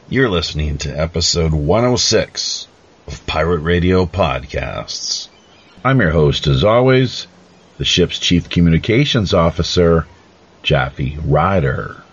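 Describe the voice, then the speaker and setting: A man hosting a podcast with deliberate, measured delivery and formal, announcer-like phrasing. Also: low-pitched, fairly bright tone, slightly rough, lo-fi sound. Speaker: podcast host.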